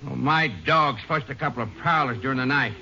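An actor playing an excitable, folksy rustic rancher in a 1950s radio western. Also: male voice, medium pitch, a little fast, vocal fry, faint music behind. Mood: agitated. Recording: muffled old radio recording with hiss.

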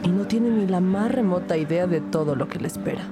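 A woman playing a calm police detective who narrates and speaks in a scripted crime audio drama. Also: fairly low pitch, fairly quick, music in the background, lightly acted. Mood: narrating, measured.

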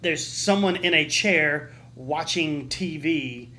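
A man chatting casually as a podcast co-host, telling things in an animated way. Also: a little high, slow pace. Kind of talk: casual chat.

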